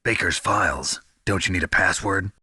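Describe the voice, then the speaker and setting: An actor playing a gruff, weary special-ops soldier in a video game, terse, deadpan and gravelly. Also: male voice, deep voice, normal speed, dry recording, studio quality, full character voice. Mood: terse, questioning. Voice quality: gravelly, husky. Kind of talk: dramatic video game voice acting.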